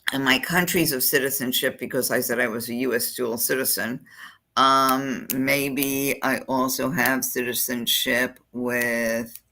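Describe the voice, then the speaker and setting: Admissions counselor, screen-share tutorial, gravelly fry, bright forward tone, chatty, thinks aloud. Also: mid-range voice, fairly even intonation, unhurried. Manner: chatty, thinks aloud while clicking through forms.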